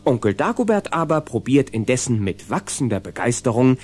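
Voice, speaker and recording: A man's voice: medium pitch, big pitch swings, fairly quick, a little mellow, breathy edge. A man narrating a children's radio play as a genial storyteller. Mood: storytelling. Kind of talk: scripted audio-drama narration for children.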